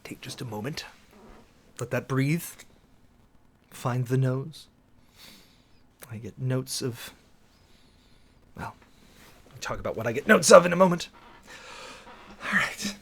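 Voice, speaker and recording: A man giving a dramatic reading and close commentary in a formal, deliberate, sermon-like manner with emphatic pauses. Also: medium pitch, animated, vocal fry, fairly bright tone. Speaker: preacher and commentator hosting a show.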